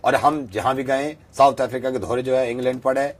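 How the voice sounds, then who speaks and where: A man voicing a self-assured rustic fake professor with a rural western Uttar Pradesh accent, rambling and repeating himself deadpan in a prank call. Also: medium pitch, fairly quick, natural voice. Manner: rambling, repetitive, bluntly folksy.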